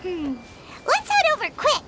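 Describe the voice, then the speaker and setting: A woman voicing a tiny, childlike, eager fairy companion in an anime-style video game, squeaky and exclamatory. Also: wildly swooping pitch, measured pace, very noisy, heavily acted. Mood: eager, curious. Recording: studio voice under loud game music. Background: loud game music.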